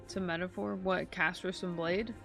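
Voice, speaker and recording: Female game streamer, heavy vocal fry, casual and playful, game music behind her. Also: lowish voice, fairly even intonation, normal speed, a bit bright. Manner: playful, sings nonsense syllables to herself. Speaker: streamer playing a story game. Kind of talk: casual gameplay commentary, reading dialogue aloud. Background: video game music.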